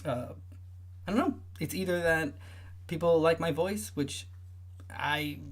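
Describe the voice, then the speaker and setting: A young man with a high-pitched voice that often gets taken for a woman's, hosting a casual livestream and rambling with lots of fillers and restarts. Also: measured pace. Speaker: livestream host.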